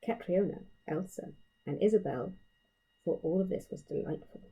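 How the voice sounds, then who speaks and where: A woman reading a children's story aloud in a measured, expressive storytelling way, leaning on key phrases. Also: lowish voice, vocal fry, slightly rough, low-quality recording. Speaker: author reading own story. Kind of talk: expressive children's audiobook narration.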